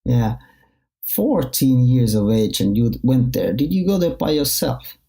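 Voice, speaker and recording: A man's voice: mid-range voice, very animated, unhurried, creaky, dark tone. A man from Finland, speaking English as an as he conducts a conversational interview.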